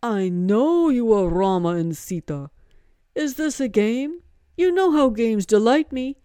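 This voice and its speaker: A woman's voice: a little high, animated, unhurried, warm tone, clean tone, no echo, clean studio sound, pitched above the performer's own voice. A woman voicing an exuberant, devoted male monkey god who speaks with grand reverence.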